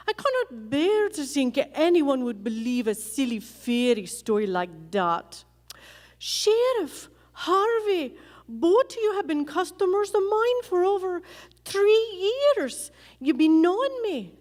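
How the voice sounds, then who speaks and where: In a reverberant hall, an Irish cafe owner in a Western radio play. Her high voice swings widely as she protests her innocence in quaint, theatrical dialect. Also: sing-song, takes it slowly, full character voice. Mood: indignant. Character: Irish cafe owner woman, secret gang leader, indignantly innocent. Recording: echoey hall, live stage performance.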